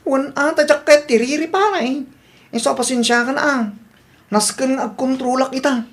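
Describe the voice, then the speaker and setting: A woman's voice: high-pitched, normal speed. A woman playing an easygoing, apologetic family man who obeys his wife, in a radio drama. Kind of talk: Ilocano radio drama acting.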